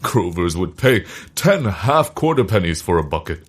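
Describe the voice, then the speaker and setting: An actor playing a pompous, self-important eccentric scientist in a comedic audio drama, declaiming grandly in a husky voice. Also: male voice, sits low, sing-song, normal speed, full character voice. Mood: grave, pompous.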